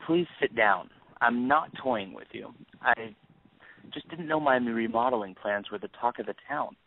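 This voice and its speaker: A man playing a younger, falsely friendly schemer with a deliberate, flattering manner in an audio play. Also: mid-range voice. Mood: defensive. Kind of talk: audio stage-play acting. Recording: tinny narrowband phone-like line.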